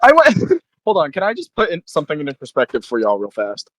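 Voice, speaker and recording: A man's voice: a little high, wildly swooping pitch, fast talker, a bit bright. A man playing a tabletop role-playing game, chatting casually with slang and swearing as he describes his character's actions.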